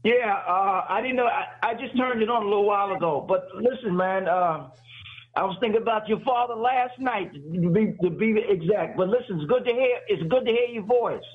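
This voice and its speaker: A man's voice: sits high, fairly quick. An older man phoning in to a radio show. He speaks in a friendly way and often repeats and restarts his words. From New Mexico. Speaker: radio caller. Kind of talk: call-in conversation. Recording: lo-fi phone line.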